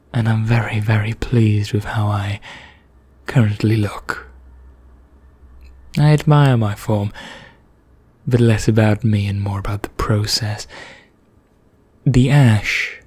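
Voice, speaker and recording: A man playing a vain, condescending vampire in an ASMR roleplay, speaking formally with measured pauses in a husky, breathy voice that nears a whisper. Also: on the low side, fairly even intonation, a little mellow, no room sound. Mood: self-satisfied, then condescending, explanatory. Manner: slow, measured, formal, pompous, with deliberate pauses. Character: vain, condescending male vampire elder, calmly sinister.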